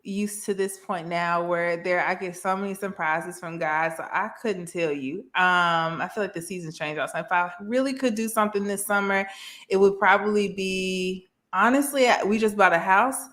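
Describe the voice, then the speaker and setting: A young woman from Memphis, a therapist, chatting as a guest on a livestream in a bubbly, expressive way. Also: medium pitch, normal speed. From Memphis, Tennessee. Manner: bubbly and expressive, calls people girl and sis. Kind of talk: casual chat on a livestream.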